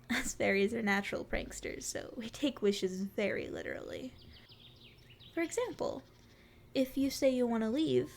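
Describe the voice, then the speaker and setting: Casting: female performer as a sweet, playful forest fairy for ASMR roleplay, very high voice with a creaky edge, teasing and curious. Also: a little mellow, a little hiss or hum. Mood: gently cautioning. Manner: sweet, flirty, teasing endearments. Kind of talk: ASMR roleplay, addressing the listener.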